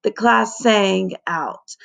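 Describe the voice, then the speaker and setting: A woman narrates a children's storybook with a noticeably creaky voice and an unhurried pace. She reads like a teacher to a class, animated and leaning dramatically on key words. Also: medium pitch. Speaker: teacher reading aloud to children.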